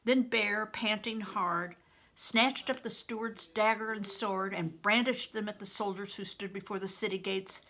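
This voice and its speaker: Older woman, storybook narration, unhurried pace, gravelly creak, dramatic delivery. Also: medium pitch, fairly even intonation, bright, forceful tone. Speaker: storyteller reading aloud. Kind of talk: expressive audiobook narration of a children's novel.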